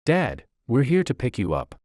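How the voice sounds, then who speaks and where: A man's voice: medium pitch, huge pitch swings, normal speed, a little mellow, no room sound, studio quality, voice pushed up. A man voicing a cheerful, innocent and forthright little girl who is proud of her father in a narrated story. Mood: joyful.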